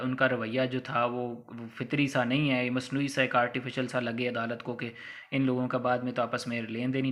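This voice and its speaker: A Pakistani man teaches in a bright, forceful voice at a middle pitch. He explains in a conversational lecture style, spelling out examples and dropping English legal terms into his sentences. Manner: didactic, conversational, acts out hypothetical examples. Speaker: law lecturer.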